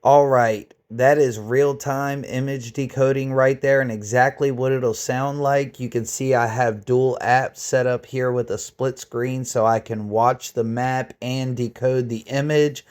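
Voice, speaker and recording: A man's voice: mid-range voice, steady tone, a little slow, hardly any pauses, darkish tone. A man giving an informal, step-by-step how-to narration as the host of a screen-recorded tutorial.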